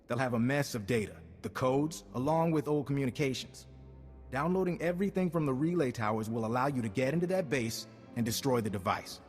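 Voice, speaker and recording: A man's voice: medium pitch, expressive, a little fast, long reverb tail, soft background music, natural voice. An actor playing a businesslike male agent giving a mission briefing in a game cutscene, assured and curt. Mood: serious briefing. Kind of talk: scripted game cutscene dialogue.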